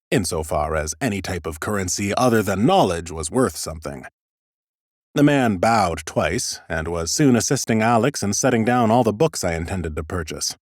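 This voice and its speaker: A man reading scripted first-person fantasy narration for an audiobook in an expressive, theatrical way. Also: fairly low pitch, dry recording, clean studio sound.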